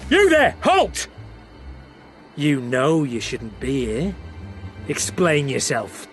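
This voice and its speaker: An actor playing a suspicious, bullying imperial guard in a video game cutscene, speaking in an accusing, sarcastic way. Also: male voice, mid-range voice, huge pitch swings, slow pace, fairly bright tone, soft background music, full character voice. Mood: commanding.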